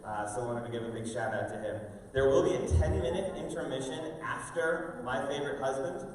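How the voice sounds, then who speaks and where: A man hosting a live show, giving an informal, halting welcome speech to an audience with drawn-out pauses. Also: medium pitch. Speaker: host, school fine arts director introducing a show. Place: large indoor room with stage and audience tables. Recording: very echoey hall, distant mic, faint noise.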